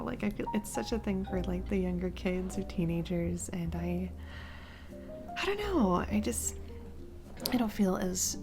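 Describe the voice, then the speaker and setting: Music plays behind a woman acting as a single mom on a blind date in a romantic audio roleplay. She talks slowly and haltingly, flustered and a little self-conscious, with nervous giggles. Her pitch sits in an ordinary middle range for a woman. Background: music, restaurant ambiance.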